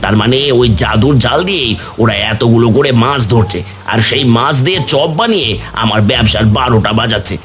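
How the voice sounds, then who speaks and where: A man's voice: mid-range voice, moderate pace, few pauses, close to an everyday voice. Scheming village villain voiced by a man. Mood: gloating, scheming. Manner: gloating, repeats words, villainous laughs. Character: scheming, spiteful village snack-seller, cowardly when caught. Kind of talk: cartoon story voice acting.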